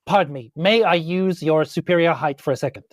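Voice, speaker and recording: A woman's voice: deep voice, brisk, no room sound, clean studio sound. A woman with a New Zealand accent talking casually as a role-playing player, politely and a little hesitantly. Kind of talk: casual game talk.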